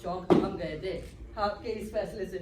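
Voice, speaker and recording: A woman's voice: mid-range voice, fairly even intonation, moderate pace, natural voice. A woman playing an ornately polite, slyly romantic young son in a stage play. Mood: slyly courteous. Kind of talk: Hindi-Urdu stage play acting. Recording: echoey hall from far off, loud noise.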